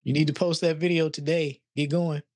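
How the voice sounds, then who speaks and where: A man's voice: fairly high pitch, flat delivery, brisk, darkish tone, no room sound, studio quality. A man in his forties coaching a guest on a video call from his living room, direct and pushing. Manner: direct, pushing, repeats words. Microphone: studio mic on arm, video call.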